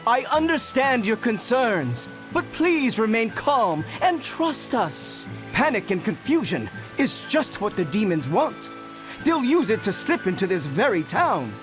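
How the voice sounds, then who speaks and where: A man's voice: sits high, sing-song, a little slow. A man playing an earnest town official in a video game, formal and reassuring. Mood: urgent, reassuring. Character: earnest town guard official calming worried citizens. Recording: game music under the voice.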